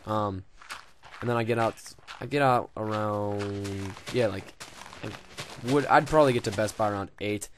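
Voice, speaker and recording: A man's voice: fairly low pitch, normal speed, noisy background. A young man casually commenting on his game, rambling and joking with lots of swearing and fillers. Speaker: gaming video host.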